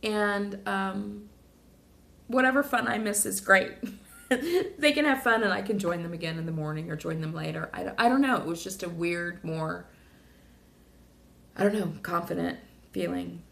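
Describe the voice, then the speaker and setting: A woman with a lowish, gravelly voice talks straight to camera in a loose, emotional video diary. She is a little hoarse and rambles through fillers and restarts. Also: moderate pace, pauses often, fairly bright tone. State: slightly hoarse. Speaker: vlogger. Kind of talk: casual personal video diary.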